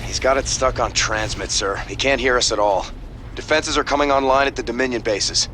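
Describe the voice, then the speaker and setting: An actor playing a skeptical second-in-command military officer in a video game, formal and measured as he reports. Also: bright, forceful tone, close to an everyday voice. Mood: serious. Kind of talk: video game character acting. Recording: noisy battlefield rumble behind.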